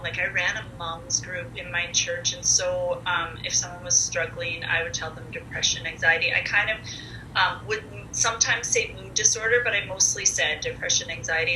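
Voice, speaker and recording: Loud, bright-voiced woman in her forties. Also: on the low side. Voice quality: loud, comfortable speaking voice. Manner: chatty and candid, with frequent fillers. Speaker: podcast guest. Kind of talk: casual conversational storytelling. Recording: echoey room with faint noise.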